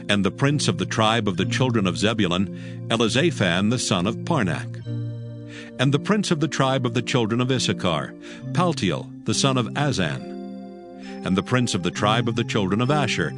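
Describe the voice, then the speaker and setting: A man narrating a dramatized Bible in a formal, solemn scripted reading. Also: fairly low pitch.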